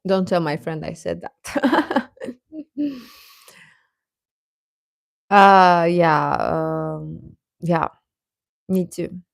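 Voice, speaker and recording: A woman's voice: fairly low pitch, extremely slow, gravelly, long pauses, dry recording, studio quality. A woman chatting casually as a livestream host, talking directly to viewers and often repeating her phrases.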